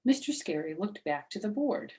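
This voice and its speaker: A woman reading a children's book aloud as storyteller, animated, with asides to her listeners. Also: medium pitch, moderate pace.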